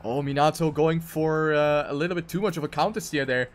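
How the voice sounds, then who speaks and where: A man's voice: fairly high pitch, a little fast, faint music behind. A man giving live commentary on a video game race.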